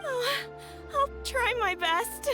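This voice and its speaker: High-voiced, sweet young bride-to-be. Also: female voice, lively intonation, slow pace, over music, full character voice. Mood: delighted. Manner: polite, hesitant, gushing. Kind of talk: video game voice acting.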